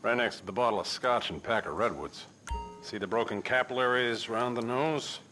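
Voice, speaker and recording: An actor playing a jaded, wisecracking senior coroner in a video game cutscene, dry and clinical in manner. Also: male voice, mid-range voice, a little fast, sharp tone, soft background music, natural voice.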